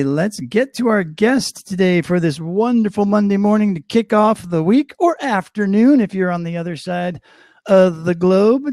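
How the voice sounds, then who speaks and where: A man's voice: on the high side, darkish tone, dry recording, clean studio sound. A man hosting a live webinar over a video call, chatty and upbeat with a self-deprecating humor. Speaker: host.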